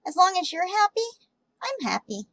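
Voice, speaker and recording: A woman voicing a shy, caring young cartoon girl in an amateur comic dub, gentle and hesitant. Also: very high voice, big pitch swings, normal speed, gravelly, no room sound, heavily acted. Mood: tender.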